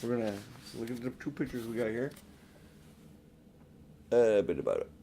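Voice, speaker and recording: An older man reads a children's storybook aloud. He has a mid-pitched voice and narrates as an audiobook reader would. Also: expressive, normal speed, warm tone, slight background noise.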